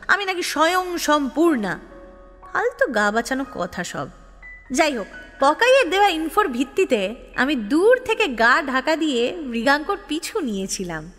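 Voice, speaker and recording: Actress as scheming lovestruck young woman, audio drama narrator. High voice, reverberant, exasperated and confiding. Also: fairly bright tone. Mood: wry, confiding. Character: scheming, proud, restless young woman in love, narrating her story. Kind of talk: dramatic first-person audio story narration. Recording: long reverb, music behind. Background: music.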